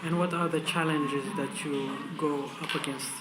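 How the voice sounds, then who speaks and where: A man's voice: fairly high pitch, steady tone, moderate pace, loud music behind the voice, rough recording. A man speaking conversationally as the interviewer in a group discussion. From immigrant who grew up partly in Finland.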